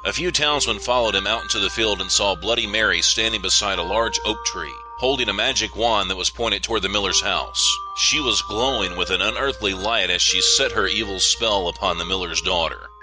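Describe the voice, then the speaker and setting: Male storyteller, low voice, scripted horror narration with prominent music underneath. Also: brisk, hardly any pauses, poor audio. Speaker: podcast host and storyteller.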